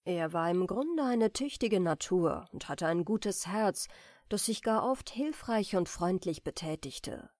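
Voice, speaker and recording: Female bedtime-story narrator, soft and expressive, with lively melody and a very dry sound. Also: lowish voice, a little slow, dry recording. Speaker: audiobook narrator. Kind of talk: gentle bedtime fairy-tale reading.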